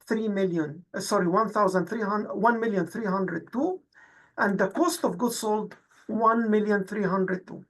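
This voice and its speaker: A woman instructor with a husky voice, explaining worked exercises methodically in a tutorial narration. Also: medium pitch, poor audio. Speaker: accounting instructor.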